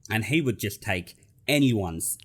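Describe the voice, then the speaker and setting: Australian man, low voice, video call. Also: expressive, a little slow, breathy edge. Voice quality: nasal. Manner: self-deprecating, chatty. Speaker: podcast guest. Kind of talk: casual chat.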